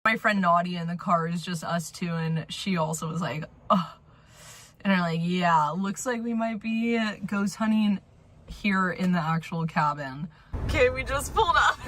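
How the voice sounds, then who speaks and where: A woman's voice: on the low side, moderate pace, a bit bright. A woman vlogging casually from a car, chatting dramatically about a spooky cabin. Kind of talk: casual vlog narration. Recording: faint car noise.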